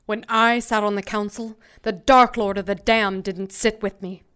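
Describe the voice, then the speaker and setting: A woman's voice: medium pitch, fairly even intonation, moderate pace, fairly bright tone, clean tone, close to an everyday voice. An actress playing a righteous, wrathful female angel in an audio drama, haughty, emphatic and defiant. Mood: scornful.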